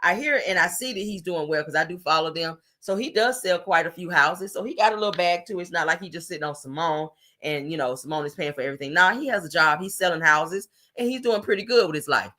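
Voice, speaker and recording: A woman doing casual, blunt reality-TV gossip commentary for her viewers, her voice deepened by allergies. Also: fast, hardly any pauses, sharp tone. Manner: blunt, slangy, talks straight to viewers.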